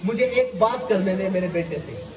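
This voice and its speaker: A man's voice: sits high, lively intonation, moderate pace, noisy background. A man voicing a grieving male imam who speaks tenderly to his daughter while weeping. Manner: weeping, pleading speech. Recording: echoey sound from far off.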